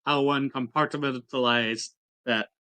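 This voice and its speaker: A man's voice: medium pitch, steady tone, measured pace, bright, forceful tone. A man taking part in a tabletop roleplaying game, talking casually and narrating his character.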